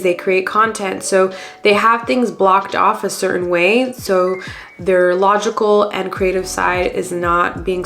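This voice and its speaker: A woman's voice: fairly low pitch, fairly bright tone. A woman in her thirties, slightly congested after a cold, chatting in a friendly, encouraging way to her vlog viewers. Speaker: vlogger. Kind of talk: casual lifestyle vlog talk.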